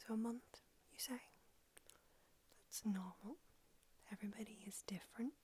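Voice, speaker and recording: A woman's voice: on the high side, moderate pace, dark tone, a little hiss or hum, lightly acted. A woman whispering in an ASMR roleplay, voicing a brusque, haughty old female vampire doctor with a dry manner. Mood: whispery, brusque.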